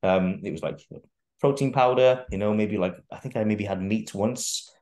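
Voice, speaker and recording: A man's voice: on the low side, normal speed, a little mellow. A young adult man, a guest fitness coach, talking casually on a video call, rambling with restarts and the odd swear word. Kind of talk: casual interview chat. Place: bare room at home, indoors. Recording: echoey room.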